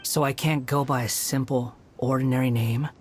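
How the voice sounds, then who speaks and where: A man's voice: medium pitch, fairly even intonation, moderate pace, a little hiss or hum, natural voice. An actor playing an aloof, sardonic young man who mocks and dodges questions, in video game dialogue. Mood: taunting. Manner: mocking, dismissive, evasive.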